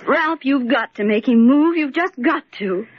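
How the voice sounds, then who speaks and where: A woman's voice: high-pitched, animated, unhurried, no room sound. An actress playing an anxious, determined young woman's part in old-time radio melodrama. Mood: distraught. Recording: muffled, hissy old radio recording.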